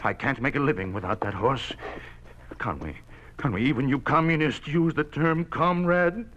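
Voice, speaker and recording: A man's voice: mid-range voice, moderate pace, a bit bright, close to an everyday voice. An actor playing a proud, desperate working family man, earnest and emotional, in an old time radio drama. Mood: desperate pleading. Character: proud, desperate husband, a working man pleading for his horse. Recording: dull old radio recording.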